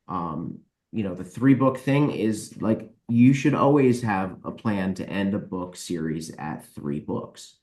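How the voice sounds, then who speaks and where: A man's voice: deep voice, lively intonation, a little slow, few pauses, a little mellow. A man giving blunt, explanatory answers in a casual interview as a podcast guest, recorded in an echoey room. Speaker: guest, book publisher.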